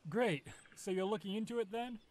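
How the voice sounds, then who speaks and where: A man acts as a friendly, persistent detective for a comic dub. His voice sits a little high, and he talks in an eager, familiar, coaxing manner. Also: a little fast, a little mellow, natural voice. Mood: businesslike. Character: friendly, persistent male forensic detective, old university friend. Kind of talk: amateur comic dub dialogue.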